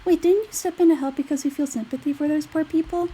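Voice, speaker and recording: A woman voicing a squeaky, childlike fairy companion from a video game, curious, blunt and exclamatory. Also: fairly even intonation, fast talker, mellow, lightly acted. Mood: surprised, unsure. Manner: exclamatory, talks in third person. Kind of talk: video game character dialogue read aloud.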